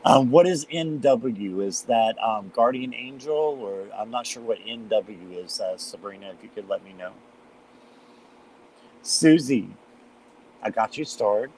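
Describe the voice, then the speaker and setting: A man with a slight cold and a hoarse voice, chatting casually as he hosts a live tarot stream and greets viewers by name. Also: mid-range voice, lively intonation, measured pace, a little mellow, a little hiss or hum, poor audio.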